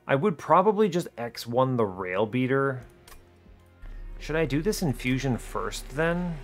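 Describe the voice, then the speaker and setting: A man's voice: medium pitch, big pitch swings, unhurried. Man thinking aloud over game music. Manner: explains his reasoning as he plays, self-questioning. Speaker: gaming video host. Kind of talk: casual strategy game commentary, thinking aloud. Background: music behind the voice.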